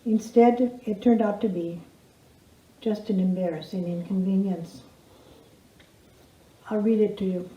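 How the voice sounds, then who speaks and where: A woman's voice: mid-range voice, fairly even intonation, measured pace, pauses often, dark tone. A woman reciting a rhymed comic story in an emphatic, folksy storytelling manner. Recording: roomy echo, dull sound.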